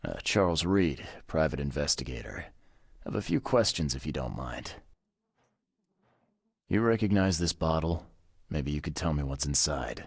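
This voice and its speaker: An actor playing a gruff, wry, world-weary private investigator in a video game, speaking laconically in a husky voice. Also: male voice, animated, normal speed, pauses often, dry recording, studio quality, exaggerated voice. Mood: dry, businesslike. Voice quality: husky, gravelly low voice. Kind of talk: video game dialogue acting.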